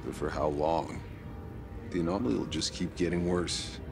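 An actor playing a grim, determined warrior with a burdened conscience in a video game cutscene, speaking tersely and gravely. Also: male voice, low-pitched, normal speed. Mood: grim resolve. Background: music.

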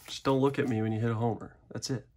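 A man's voice: medium pitch, fairly even intonation, fast talker, a little mellow. A young man hosting a casual card-opening video, chatting in a rambling, self-deprecating way at a desk. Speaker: card-opening channel host. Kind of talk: casual pack-opening commentary. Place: indoors at a desk.

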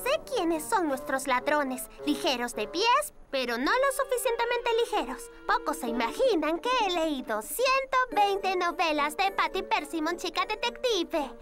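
A woman's voice: extremely high pitch, big pitch swings, measured pace, few pauses, over loud music, exaggerated voice. A woman voicing a bookish, self-assured little girl detective in a dubbed children's cartoon, wordy and dramatic. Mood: proud, dramatic.

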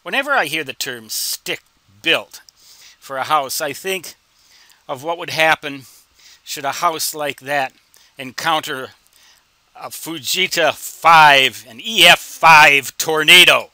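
A man teaches informally in a very sharp, bright voice that sits a little high. His phrasing is deliberate, with a wry, folksy humor. The sound is dry, as from a close microphone. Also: dry recording. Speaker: instructor answering reader questions. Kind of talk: informal explanatory tutorial.

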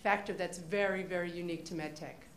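A woman's voice: on the low side, fairly even intonation, fast talker, slight background noise. Confident woman in an echoey hall. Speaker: panelist. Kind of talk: panel discussion. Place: conference hall. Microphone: table microphone. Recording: roomy conference hall sound.

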